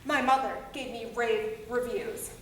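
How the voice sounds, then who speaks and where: A woman's voice: fairly high pitch, unhurried, a little hiss or hum, natural voice. A young woman playing a bitter, jealous actress who lost the lead role, sarcastic and theatrical. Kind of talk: amateur comedy sketch acting. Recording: very echoey room, mic far off.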